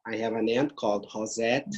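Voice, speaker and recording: A man with a Brazilian accent and Portuguese as his first language, speaking earnestly and haltingly as an interview guest. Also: fairly low pitch, slight background noise. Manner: earnest, halting non-fluent English. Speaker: podcast guest, grief therapist. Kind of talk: interview, personal storytelling.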